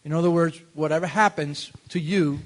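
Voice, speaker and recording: Man, Cuban-born preacher, high voice, emphatic, rises to loud peaks. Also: steady tone, a little slow. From Cuba. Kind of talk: bilingual sermon with interpreter.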